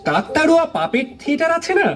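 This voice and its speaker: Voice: high-pitched, sing-song, fast talker, soft background music. An actor voicing a kindly uncle puppet in a children's puppet show, explaining things in an encouraging way.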